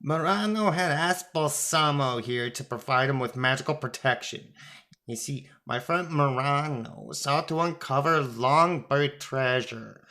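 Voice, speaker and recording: Someone voicing an indignant ancient god, pitched up. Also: a little slow, sharp tone. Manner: indignant, declamatory, lofty. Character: outraged ancient Egyptian god as a floating feather quill.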